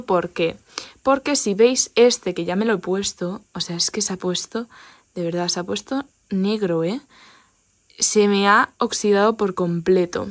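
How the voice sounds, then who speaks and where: A woman's voice: medium pitch, a little mellow. A woman in her twenties with a Spanish accent from Spain, chatting casually through a shopping haul in a small bedroom, upbeat and full of asides. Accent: Peninsular Spanish. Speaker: vlogger.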